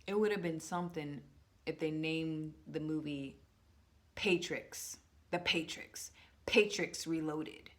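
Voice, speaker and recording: A woman with a low, deep-set voice muses aloud to her viewers in an easygoing vlog. She rambles, restarts her sentences and draws certain words out slowly for weight. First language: English. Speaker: vlogger. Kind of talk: casual musing monologue to viewers.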